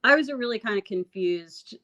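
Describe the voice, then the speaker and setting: A woman singer-songwriter with a raspy voice, talking reflectively about her music in a casual interview, full of fillers and restarts. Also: a little high, animated, a little fast, sharp tone. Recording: dull lo-fi audio.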